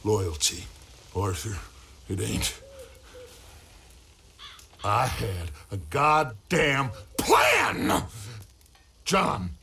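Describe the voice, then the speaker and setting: Gravelly, grandiose American outlaw leader. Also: male voice, fairly low pitch, wildly swooping pitch, sharp tone, slight background noise, heavily acted. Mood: furious. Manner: theatrical, deliberate, drawn-out delivery. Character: grandiose, betrayed middle-aged male outlaw gang leader. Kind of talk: dramatic video game acting.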